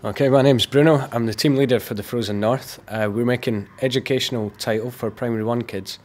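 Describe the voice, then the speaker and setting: Steady mid-pitched man team leader. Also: brisk. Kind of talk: casual interview answers.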